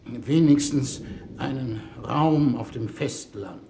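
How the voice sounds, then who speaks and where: A formal male diplomat in a 1960s radio play. The voice is dark with a gravelly fry. He is polite and procedural, with small hesitations, over faint noise. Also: medium pitch, slow pace. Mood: formal, puzzled. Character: courteous, formal male Earth diplomat chairing negotiations.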